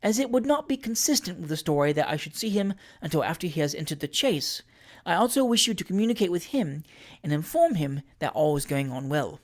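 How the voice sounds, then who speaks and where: A narrator voicing a self-possessed, scheming lady of forty, quiet, measured and drily imperious, in a storybook. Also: medium pitch, big pitch swings, natural voice.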